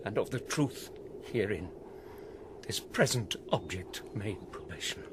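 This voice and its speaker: An actor playing an earnest scholar shaken by a ghost in a Shakespearean radio drama, with theatrical, measured verse delivery. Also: male voice, lowish voice, animated. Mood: hushed, awed. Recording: noisy ambient background.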